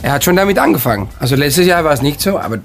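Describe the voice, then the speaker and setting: A man's voice: fairly high pitch, lively intonation, racing, creaky. A man, a band member, chatting hesitantly in an interview with many fillers and restarts. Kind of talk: casual chat.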